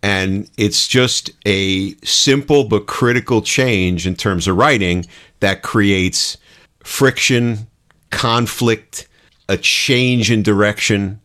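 A man's voice: lowish voice, slow, a bit bright. A man talking casually and conversationally as co-host of a show about writing.

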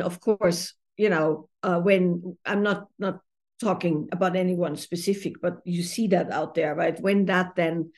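Thoughtful woman from Austria, lowish voice. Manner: thoughtful, many fillers, laughs easily. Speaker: intimacy therapist and author. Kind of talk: reflective interview answers.

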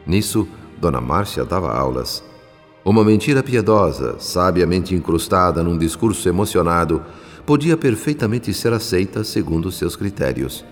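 Radio drama narrator: low-voiced Brazilian storyteller, solemn and literary, heard with long reverb. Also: male voice, animated, moderate pace. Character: solemn male narrator telling a moral family story. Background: soft music.

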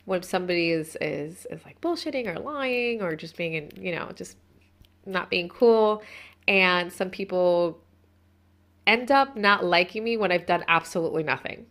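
A woman in her thirties hosting a casual talk about spiritual practice. Her speech is chatty and rambling, full of restarts and asides. She sits at a middle pitch, neither high nor low. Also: a bit bright. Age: 30s. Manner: chatty, meandering, self-interrupting. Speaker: host.

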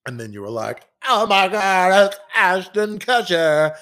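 A man co-hosting a casual podcast chat, rambling and animated, often jumping up into high put-on quotes. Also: unhurried. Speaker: podcast co-host.

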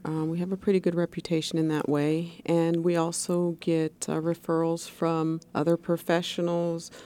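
A woman's voice: low voice, mellow. A woman guest on a radio interview, co-founder of a nonprofit, friendly and reassuring.

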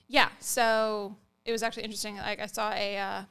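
A woman's voice: medium pitch, level tone, brisk, creaky, fairly bright tone, smooth. A woman co-hosting a podcast in casual, conversational chat. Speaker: podcast co-host.

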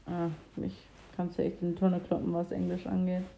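Young woman with a very dark, mellow voice. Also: lowish voice, fairly quick, gravelly, a little hiss or hum. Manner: relaxed, slangy, self-deprecating. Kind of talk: casual conversation.

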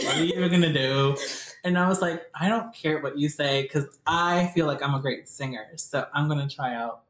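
A young woman chatting casually with friends, rambling with lots of fillers. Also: low-pitched. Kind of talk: casual chat. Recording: echoey room.

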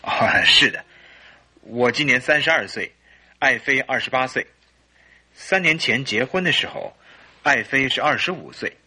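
A man's voice: mid-range voice, normal speed, long pauses, bright, forceful tone, slightly rough. A man acting a polite, earnest, troubled English husband in his early thirties in a Chinese radio drama. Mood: polite, explanatory.

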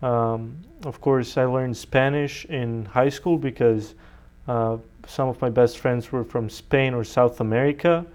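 A man's voice: mid-range voice, steady tone, unhurried, a little mellow. A young man from Serbia, a native Serbian speaker, answering interview questions conversationally as a college student guest. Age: young adult.

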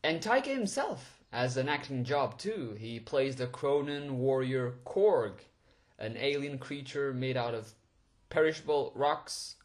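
A man's voice: medium pitch, lively intonation, unhurried. A man, giving an enthusiastic, chatty movie review with frequent chuckles and fillers. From Portugal. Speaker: film reviewer. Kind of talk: casual movie review monologue.

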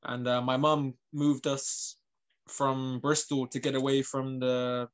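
Flat-toned man in his thirties. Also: mid-range voice, takes it slowly, smooth. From England and Northern Ireland. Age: 30s. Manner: loose, filler-heavy, lots of "like" and "you know". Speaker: guest, MMA fighter. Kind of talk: casual interview talk.

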